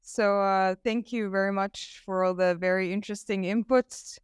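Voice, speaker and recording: A woman's voice: medium pitch, fairly even intonation, moderate pace, clean tone, dry recording, studio quality. A woman from Egypt asking a thoughtful question from the audience at a conference, with some fillers.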